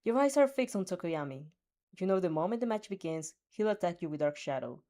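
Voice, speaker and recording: A woman's voice: fairly low pitch, expressive, a little fast, warm tone. A woman reading a fan story aloud in second person, often stumbling over words and restarting. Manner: reads with frequent slips, restarts and giggles. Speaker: fanfiction reader.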